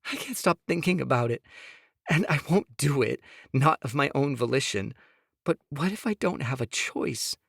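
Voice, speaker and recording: A man's voice: medium pitch, animated, moderate pace, vocal fry, a bit bright, breathy edge, no room sound, studio quality, pitched above the performer's own voice, exaggerated voice. A man voicing a tearful pleading young mother. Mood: anxious.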